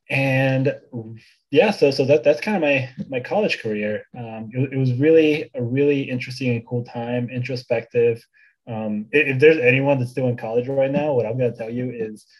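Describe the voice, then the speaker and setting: A man's voice: medium pitch, poor audio. A young man from Bulgaria in his twenties, a podcast guest chatting casually, with many fillers and restarts. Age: 20s. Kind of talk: casual interview chat.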